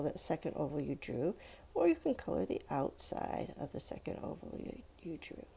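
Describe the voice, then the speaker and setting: A woman's voice: lowish voice, gravelly, dark tone. A woman giving an informal step-by-step drawing lesson for children, encouraging and thinking aloud as she goes. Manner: encouraging, thinking aloud, addressing young viewers with "let's" and check-ins. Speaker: art teacher for children.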